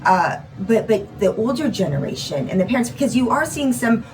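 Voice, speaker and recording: A woman's voice: on the high side, big pitch swings, a little fast, far from the mic, noisy background. A woman in her forties hosting a podcast, talking in a chatty way with many fillers. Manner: chatty, lots of filler words. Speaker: podcast host, TV anchor. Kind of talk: casual interview chat. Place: podcast studio with decorated shelves. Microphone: large studio mic on boom.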